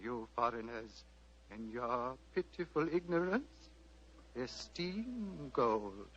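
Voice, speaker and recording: An actor playing a serene, fatherly old Tibetan abbot in a measured, formal radio drama style. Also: medium pitch, very animated, heavily acted. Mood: calm, fatherly. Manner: measured, formal, gently paternal. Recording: muffled vintage radio, hum and hiss.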